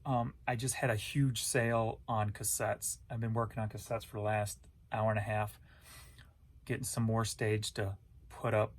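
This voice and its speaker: A man's voice: on the low side, little pitch movement, moderate pace. An American man giving a casual, rambling vlog update as an online reseller, talking informally and thinking aloud in a folksy way.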